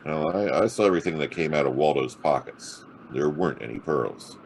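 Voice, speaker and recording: An actor playing a hard-boiled, wisecracking private detective in a noir radio drama, with a gravelly, husky voice and a sardonic drawl. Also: male voice, extremely low pitch, fairly quick, slight background noise. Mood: probing, wry. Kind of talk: noir radio drama acting and first-person narration.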